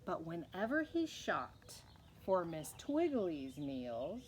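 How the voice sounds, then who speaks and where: Woman, storytelling, expressive picture-book reading, slow rhythmic verse, faint background noise. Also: fairly low pitch. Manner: measured rhythmic delivery of rhyming verse. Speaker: read-aloud storyteller.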